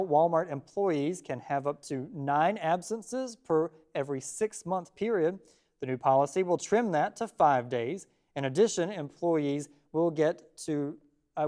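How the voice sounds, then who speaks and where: A man's voice: fairly high pitch, a little mellow. A man anchoring a local television newscast, reading scripted stories from a studio. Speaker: TV news anchor.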